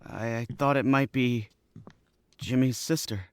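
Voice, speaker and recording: A man's voice: medium pitch, steady tone, unhurried, clear, resonant tone, no room sound, studio quality, lightly acted. A young man playing a frightened, stammering accomplice in a 1940s-style radio drama. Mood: panicky. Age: young adult. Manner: stammering and panicky.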